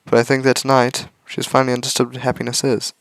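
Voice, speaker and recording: A man reading a visual novel aloud as a let's-play host, with measured, earnest narration. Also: mid-range voice, completely flat delivery, no echo. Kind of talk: dramatic read-aloud narration with casual asides.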